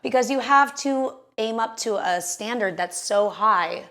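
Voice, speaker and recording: A young woman with a raspy voice, a singer chatting as a guest in an interview, full of "you know" and "like". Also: fairly high pitch, unhurried, a bit bright. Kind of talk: casual interview chat.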